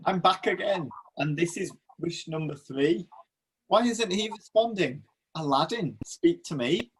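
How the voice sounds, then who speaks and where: A man playing an obliging pantomime genie, declaiming in rhyme with a husky voice. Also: fairly high pitch, expressive, measured pace, noisy background. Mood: concerned. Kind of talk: rhyming amateur pantomime acting.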